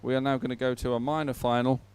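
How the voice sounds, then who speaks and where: A man hosts live sports coverage in a crisp, clear voice at a middling pitch. He speaks off the cuff, chatty and a little halting as he keeps viewers informed. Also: level tone, a little mellow. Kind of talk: unscripted live event presenting.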